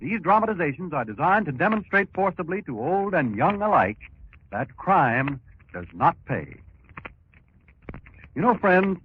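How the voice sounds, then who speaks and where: An American man in the role of a 1940s radio announcer, high and very animated. He reads formally and emphatically. The vintage recording sounds muffled. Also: breathy edge.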